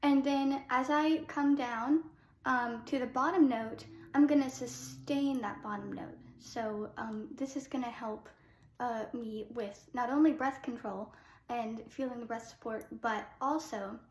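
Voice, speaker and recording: A young woman who sings soprano, teaching a casual singing lesson. She explains with short sung demos and fills pauses with "um.". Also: fairly high pitch, roomy sound. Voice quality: soprano speaking voice.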